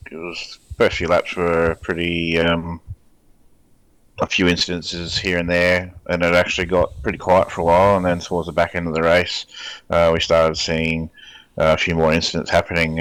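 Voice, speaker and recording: An Australian race director in an online interview, explaining rulings in a measured way with frequent ums. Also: male voice, low voice, fairly bright tone, clear, resonant tone, no room sound, low-quality recording.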